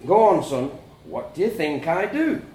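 A man voicing a gruff, blunt farmer father in a told story, booming and emphatic. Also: sing-song, moderate pace, mellow, roomy sound. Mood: stern, blunt.

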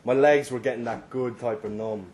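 A man's voice: mid-range voice, fairly even intonation, moderate pace, a little mellow. Irish man reading a story aloud. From Ireland. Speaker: story reader. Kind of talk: live literary reading, first-person narration. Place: live reading at a London venue. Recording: live event recording, faint background noise.

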